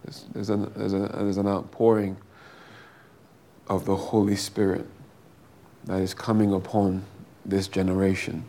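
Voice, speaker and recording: Slow, deep-voiced booming preacher man. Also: completely flat delivery, mellow, slight background noise. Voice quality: big booming voice. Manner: slow, drawn-out, fervent delivery with repeated phrases. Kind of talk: sermon with prayer.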